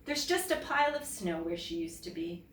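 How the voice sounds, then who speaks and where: A woman from Canada reading to children as a teacher, telling a story expressively and deliberately and putting questions to her listeners. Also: lowish voice, very animated, a bit bright. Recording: roomy, distant mic, faint noise.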